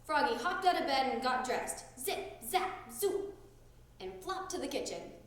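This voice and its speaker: A young woman reading a children's storybook aloud with expressive narration. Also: sits high, a little slow. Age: young adult. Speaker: drama student reading aloud. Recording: very echoey room.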